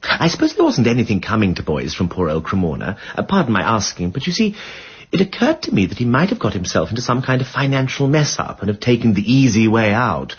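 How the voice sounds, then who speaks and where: An actor playing a genial, flippant upper-class English gentleman sleuth in a radio drama, languid and politely apologetic, with a husky voice. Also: mid-range voice, big pitch swings, brisk, lo-fi sound. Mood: apologetic probing. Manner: languid, politely apologetic, drawling period slang.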